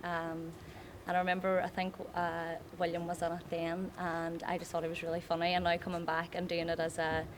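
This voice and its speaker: A woman's voice: on the low side, completely flat delivery, a little fast. A young woman, an actress chatting casually in a promotional interview. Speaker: pantomime actress. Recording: clear background noise.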